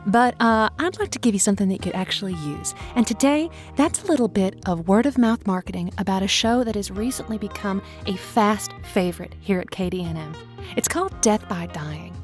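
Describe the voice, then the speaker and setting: A woman's voice: mid-range voice, close to an everyday voice. An actress playing a quirky, chatty woman who hosts a lonely-hearts radio show, wordy and playfully self-deprecating. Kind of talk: scripted fiction podcast, conversational radio-host patter.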